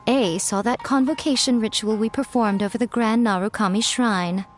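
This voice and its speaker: A woman's voice: a little high, moderate pace, close to an everyday voice. An actress voicing a sly, teasing woman with a drawling, amused manner. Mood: breezy. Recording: game audio with faint music behind.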